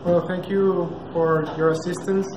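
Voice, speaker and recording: A man in his thirties from Venezuela, with a Venezuelan Spanish accent, reading a prepared speech in a small cafe with careful, halting delivery. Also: high voice, little pitch movement. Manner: careful, halting, reads from a clipboard, frequent uh and restarts. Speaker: nonprofit founder giving a launch speech. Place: small cafe with big street windows, indoors. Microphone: far camera. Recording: echoey room with noise.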